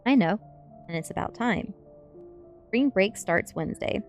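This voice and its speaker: A woman's voice: mid-range voice, animated, unhurried, faint music behind, pitched above the performer's own voice. A woman reading the part of a sardonic, stubborn, dramatic goth teenage girl in a story. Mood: insistent.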